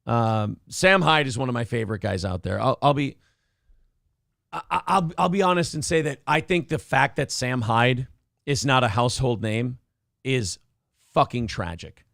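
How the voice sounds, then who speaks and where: A man's voice: medium pitch, normal speed, creaky, a bit bright, dry recording. A man hosting a morning show, chatting casually with a dry throat, profane and opinionated, acting out quotes in raised voices. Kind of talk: casual talk-show banter.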